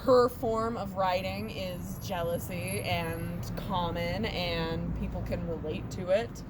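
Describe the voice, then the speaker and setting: A woman co-hosting a casual chat, blunt and sarcastic, with a low voice for a woman. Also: steady tone, takes it slowly. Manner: blunt, sarcastic, laughs often. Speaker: podcast co-host. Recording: loud traffic noise outdoors.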